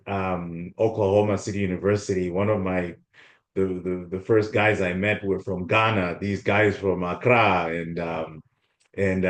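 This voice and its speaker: A man's voice: low voice, roomy sound. A man in his forties from Zimbabwe giving an informal workshop talk over a video call, full of ums and restarts. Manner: hesitant, many ums and restarts. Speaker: guest speaker.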